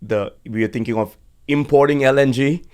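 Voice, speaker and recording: A man from Sri Lanka in his forties, a guest on an interview podcast, telling his career story in a measured, steady way with frequent fillers. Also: mid-range voice, smooth. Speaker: guest, energy executive. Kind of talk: conversational interview. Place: podcast studio.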